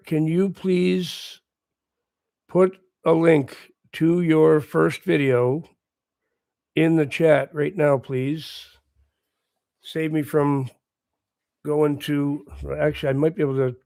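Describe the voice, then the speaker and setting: A hoarse man speaks in a slow, unhurried way, still getting his voice back, as he chats quietly and warmly with his stream's viewers. His voice sits a touch high for a man, and the sound is close and dry, with no room echo. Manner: talks quietly, chatty and encouraging. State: hoarse, recovering lost voice. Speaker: livestream host. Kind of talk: casual chat with viewers. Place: at home with morning coffee.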